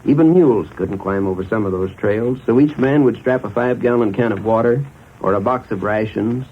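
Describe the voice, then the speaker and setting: An American man, an army officer with a husky voice, giving a plain, orderly account in a 1940s radio interview. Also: on the low side, a little fast. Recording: muffled, narrow-band 1940s radio recording.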